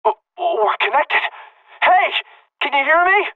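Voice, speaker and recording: A man's voice: very high voice, very animated, slow, heavily acted. A man playing an urgent, impatient young guide in an anime-style video game, with a slightly muffled sound. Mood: surprised.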